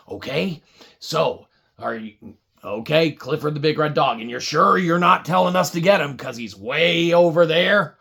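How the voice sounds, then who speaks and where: A woman with a husky, gravelly voice improvising a children's puppet story, chatting casually to viewers in a mock-weary way. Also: low-pitched, fairly bright tone.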